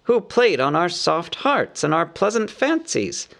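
Male reader voicing a crafty old town master: gravelly, indignant, orating loudly. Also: on the high side, very animated, moderate pace, voice pushed up. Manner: indignant, rhetorical oratory.

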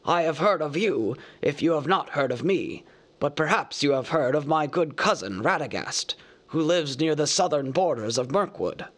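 A man reading a storybook aloud, voicing an old male wizard who speaks formally and with measured composure. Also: fairly high pitch, expressive, a bit bright. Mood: courteous.

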